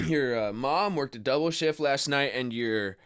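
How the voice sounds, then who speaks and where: A man acting in a radio play as a gruff but kind injured bull rider, talking in a halting, folksy way. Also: mid-range voice, fairly bright tone, no room sound, clean studio sound, natural voice. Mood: gentle, awkward.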